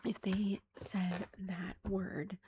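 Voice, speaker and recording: A woman in her thirties, a mother, telling a story in a soft whisper, chatty and amused. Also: medium pitch, flat delivery, very slow, a little hiss or hum.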